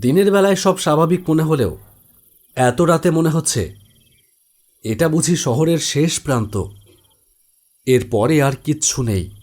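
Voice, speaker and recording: A man's voice: a little high, expressive, unhurried, long pauses, a little mellow. A man narrates a scripted first-person horror story.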